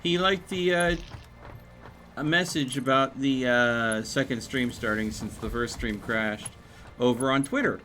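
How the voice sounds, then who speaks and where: A man streaming a game, chatting casually in a rambling, halting way. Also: mid-range voice, bright, forceful tone, faint music behind. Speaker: live streamer. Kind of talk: casual gaming livestream chat.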